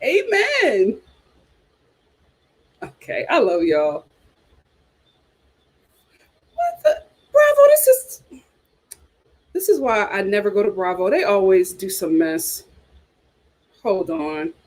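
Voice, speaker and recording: A woman's voice: mid-range voice, wildly swooping pitch, takes it slowly, pauses often, a little mellow. A woman hosting a casual reality TV reaction chat, talking back at the screen. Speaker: reality TV commentary host.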